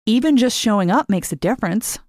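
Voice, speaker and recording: Audiobook lady doing earnest young activist girl, lilting and encouraging, pitched up, dry recording. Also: expressive, brisk, darkish tone, no room sound, lightly acted. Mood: earnest, hopeful. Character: earnest, upbeat young woman student activist, persuasive. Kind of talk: audiobook character dialogue.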